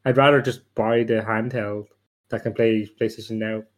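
A man's voice: lowish voice, steady tone, moderate pace. Bantering, sarcastic man on a podcast. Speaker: podcast panelist. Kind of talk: casual joking panel chat.

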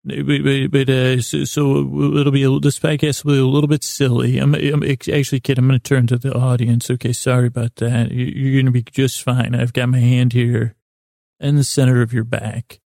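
Male podcast host for rambling bedtime monologues: heavy vocal fry, relaxed tone, halting, soothing delivery. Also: medium pitch, dry recording, clean studio sound.

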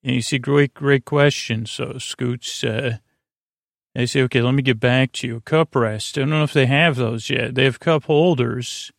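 A man's voice: mid-range voice, steady tone, gravelly, no echo, clean studio sound. A man hosting a sleep podcast, giving a soothing, meandering monologue that halts, corrects itself and drifts into tangents. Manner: rambling, halting, frequent tangents and self-corrections; soothing, lulling delivery. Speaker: podcast host.

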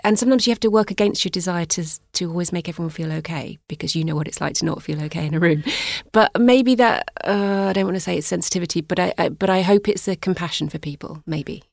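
A woman in her forties from north-west England talks in a reflective, searching way, often restarting her sentences. Her low voice carries a gravelly edge. The sound is close and dry, like a small studio. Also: fast talker, few pauses, darkish tone, no echo. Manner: thoughtful, searching for words with restarts. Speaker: guest, music journalist. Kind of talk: reflective conversational interview.

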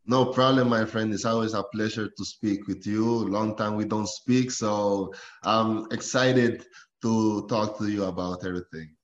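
Laid-back low-voiced man in a roomy space. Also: unhurried, muffled recording. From Costa Rica. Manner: laughs often, relaxed storytelling. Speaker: professional footballer, guest. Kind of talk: casual interview chat.

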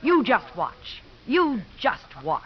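Brazen ex-wife, muffled radio. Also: female voice, high-pitched, big pitch swings, takes it slowly, exaggerated voice. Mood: threatening. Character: brazen, scheming, seductive ex-wife. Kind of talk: 1950s radio acting. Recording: muffled, noisy 1950s radio recording.

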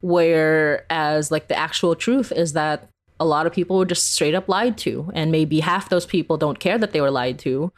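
A woman's voice: sits low, moderate pace. A woman talking casually as an interview guest, informal and giggly, peppering her sentences with "like". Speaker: interview guest, podcast creator.

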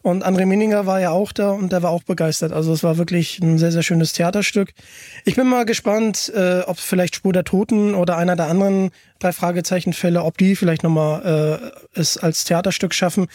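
A man's voice: high-pitched, steady tone, fairly quick, a little mellow, no room sound, clean studio sound. A man hosting a podcast, chatting casually and with enthusiasm. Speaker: podcast host.

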